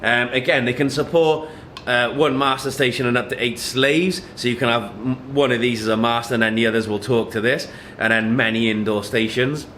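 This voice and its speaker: A man walks through a product step by step. He speaks at a medium pitch in an informal, matter-of-fact manner, explaining things plainly as a demonstrator would. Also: fairly even intonation, normal speed, fairly bright tone, a little hiss or hum.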